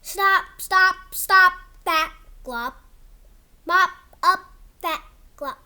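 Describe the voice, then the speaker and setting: A child hosting a read-aloud of a picture book, reading haltingly like a beginner and drawing words out. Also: extremely high pitch, bright, forceful tone.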